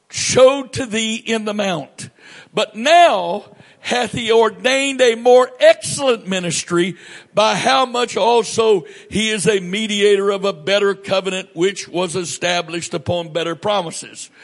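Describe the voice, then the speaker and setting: A male pastor preaches in a church, his voice sitting high for a man. He reads scripture and then explains it at a slow, unhurried pace, stressing his points with measured pauses. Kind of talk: sermon with scripture reading.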